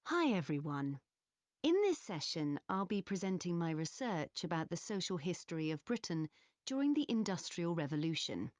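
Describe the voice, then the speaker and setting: A woman playing a history student giving a scripted academic presentation in a measured, explanatory manner. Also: fairly low pitch, sing-song, a little mellow, dry recording. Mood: explanatory, composed. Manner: measured, clearly structured explaining. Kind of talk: scripted academic presentation in an exam listening recording.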